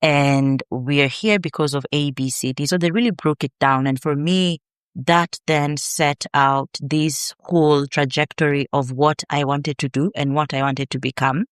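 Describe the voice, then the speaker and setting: A woman with a Kenyan accent, a podcast guest and researcher, telling her story in a measured, earnest way. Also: low voice, steady tone, clean tone, dry recording, clean studio sound. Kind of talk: reflective interview answers.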